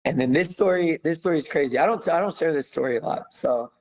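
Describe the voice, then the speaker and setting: A man's voice: a little high, quick pace, low-quality recording. A young American man, a former footballer, telling his story in a casual interview with many fillers. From United States. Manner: animated, many fillers, laughs easily.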